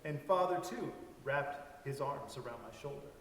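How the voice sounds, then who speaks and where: A man's voice: medium pitch, moderate pace, fairly bright tone, slight background noise. A man reading a story aloud in a dramatic staged reading. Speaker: reader in a church staff dramatic reading. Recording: echoey hall, distant microphone.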